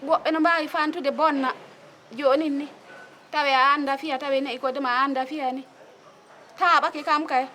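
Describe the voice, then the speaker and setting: High-pitched woman giving testimony. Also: steady tone, normal speed, long pauses, pushed and bright, slight background noise. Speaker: witness interviewee. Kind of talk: spontaneous testimony.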